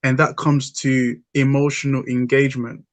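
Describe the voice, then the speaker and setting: Host: man, even-toned, thoughtful, lots of ums, dry close sound. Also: medium pitch, normal speed, no echo. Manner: thoughtful, hesitant with many ums. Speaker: podcast host. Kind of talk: casual discussion.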